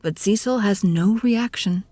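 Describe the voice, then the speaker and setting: A woman's voice: mid-range voice, a little slow, warm tone, no echo. A woman narrating a scripted audio drama in a storybook style. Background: music with guitar.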